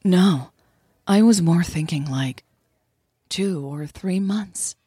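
Narrator as soft, husky, shyly joking woman. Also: lowish voice, slow pace, no echo, studio quality. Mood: casual, tentative. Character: shyly joking, easygoing woman in love, Emily.

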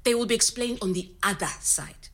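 A woman's voice: medium pitch, a little fast, fairly bright tone, lightly acted. An actress playing a frank, spirited middle-aged Zimbabwean woman recounting her youth in an interview-style audio drama, with a Zimbabwean accent. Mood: frank, reflective.

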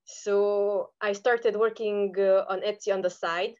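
A woman's voice: mid-range voice, fairly even intonation, a little slow, a little mellow. Romanian-accented woman, slightly dull recording. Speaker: podcast guest, online seller. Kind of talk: conversational interview answers.

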